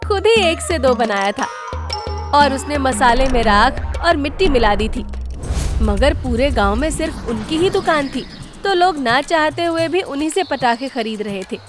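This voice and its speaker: A woman's voice: sits high, animated, moderate pace, over music. A woman narrating a scripted comic story for a cartoon, in the role of storyteller.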